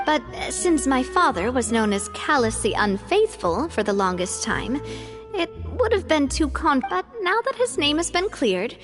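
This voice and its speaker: A cheerful young woman who runs her group in a game cutscene. She talks in a high, animated voice, playful and quick to wave off praise. Faint game music sits under her lines. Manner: lively, playful and self-deprecating. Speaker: group leader. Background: soft game music.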